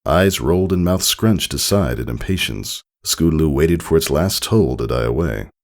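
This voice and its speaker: Male story narrator, deep and fry-laden, expressive scripted reading. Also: moderate pace. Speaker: fan fiction reader.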